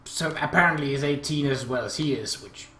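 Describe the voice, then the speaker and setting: A man's voice: mid-range voice, a little fast, sharp tone. A man hosting a let's play, reading a visual novel aloud and adding his own commentary. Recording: roomy echo, faint background noise.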